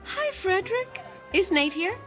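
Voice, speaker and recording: An actress playing a pert, persistent young lady in an audio drama, with an animated, questioning manner. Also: very high voice, measured pace, soft background music, exaggerated voice. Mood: impatient, insistent.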